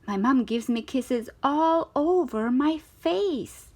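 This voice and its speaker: A woman reads a picture book aloud for children. Her voice sits on the high side and moves up and down with lots of expression. She is theatrical in delivery, leaning on key words and drawing them out. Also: animated, slow, a little mellow. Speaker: storytime reader of children's books.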